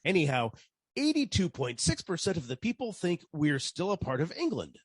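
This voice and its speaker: A man's voice: fairly high pitch, wildly swooping pitch, normal speed, breathy edge, no echo, exaggerated voice. A man playing a brash ad agency boss in a comic radio play, with theatrical salesman's patter. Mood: eager pitching.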